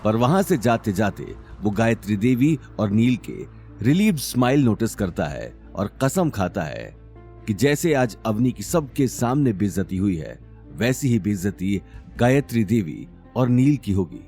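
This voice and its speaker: A man's voice: lowish voice, big pitch swings, measured pace, darkish tone, soft background music. A man narrating a scripted audio drama serial in a dramatic storytelling style.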